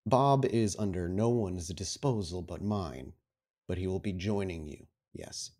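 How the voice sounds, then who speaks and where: A man playing a low-voiced, stern vampire prince. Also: a little mellow. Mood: stern, menacing. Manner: formal, measured, authoritative. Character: stern, commanding vampire prince, formal and menacing. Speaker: game master. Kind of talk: tabletop roleplay acting.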